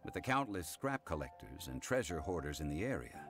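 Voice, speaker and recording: Calm wise gentleman game character, really deep voice, formal and measured but melodic, music behind. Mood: composed, solemn. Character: calm learned gentleman consultant recounting old legends. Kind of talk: English video game voice acting.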